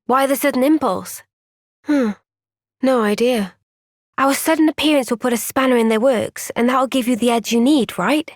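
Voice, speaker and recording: High-voiced, gentle young heroine. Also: female voice, moderate pace, no room sound, clean studio sound. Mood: calm, thoughtful. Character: earnest, gentle young female fantasy soldier heroine. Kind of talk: video game cutscene voice acting.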